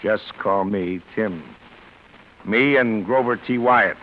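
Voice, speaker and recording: Casting: hard-boiled fixer, low voice, commanding and secretive, 1940s radio drama sound. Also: male voice, slow. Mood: smooth, conspiratorial. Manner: controlled, instructing, terse hard-boiled talk. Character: shady, commanding political fixer arranging a payoff. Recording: dull, slightly noisy vintage radio recording.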